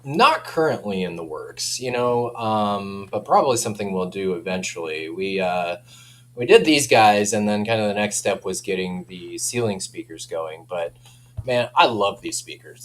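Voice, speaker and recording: A man's voice: deep voice, animated, normal speed. A man casually and enthusiastically showing off products as a company representative, informal and given to chuckling. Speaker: speaker company representative giving a product demo. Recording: clear background noise with hum.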